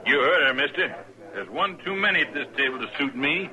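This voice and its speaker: Actor as animated, indignant frontier cowboy. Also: male voice, mid-range voice, brisk, natural voice. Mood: indignant, defiant. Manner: blunt, challenging. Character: indignant, stubborn cowboy defending his lady companion. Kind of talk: old-time western radio drama acting. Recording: old radio recording, crowd murmur.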